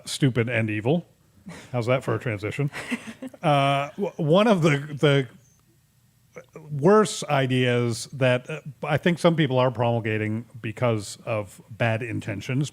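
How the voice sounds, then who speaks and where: A man's voice: medium pitch, gravelly. A man from Massachusetts hosting a political talk podcast, joking sarcastically and restarting his sentences as he talks. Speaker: podcast host. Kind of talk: casual political chat.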